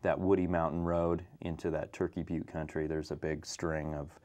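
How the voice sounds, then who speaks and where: A man's voice: extremely low pitch, little pitch movement, normal speed, a little mellow. An American man answering as a fire dispatch manager in an unscripted interview, informal and peppering his answers with "you know".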